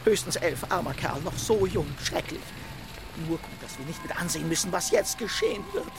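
A man's voice: high voice, animated, a little fast, gravelly, over music, poor audio. A man playing an anxious, superstitious villager who speaks with urgent foreboding in a children's radio play. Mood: dread. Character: frightened, superstitious male villager walking his dog. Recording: rain and vehicle noise.

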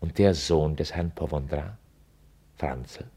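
An actor as a wry, musing male narrator of a radio play, with a husky, mellow voice. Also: a bass voice, slow pace, exaggerated voice.

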